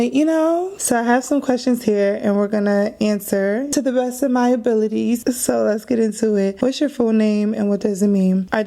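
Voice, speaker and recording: Gravelly, mellow-voiced woman in her thirties. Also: medium pitch. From Trinidad. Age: 30s. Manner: chatty, laughs often, slangy asides. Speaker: vlogger. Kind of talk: casual get-to-know-me Q&A.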